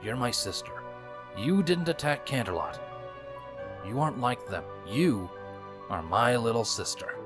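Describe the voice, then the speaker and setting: A protective big-brother unicorn stallion played by a man speaks in a middle-pitched voice with music behind him. He is devoted, emotional and emphatic, a character in a fantasy storybook. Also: lively intonation, unhurried, long pauses, natural voice. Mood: reassuring. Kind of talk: audiobook character voice in fan fiction.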